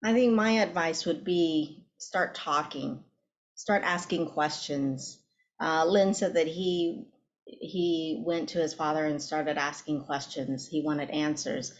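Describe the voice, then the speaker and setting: A woman author on a panel, speaking in a measured, steady way. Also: sits low, dull audio. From Vietnamese-American. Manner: measured, steady, some ums. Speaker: author guest. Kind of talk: panel discussion.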